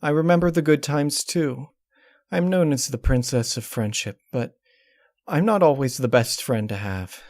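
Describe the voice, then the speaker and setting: A man's voice: mid-range voice, lively intonation, normal speed, darkish tone, dry recording, clean studio sound, pitched above the performer's own voice, natural voice. A man voicing a distraught, controlling young female pony princess in a storybook reading, with a pleading, emotionally strained delivery. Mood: subdued, regretful. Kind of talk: dramatic storybook character reading.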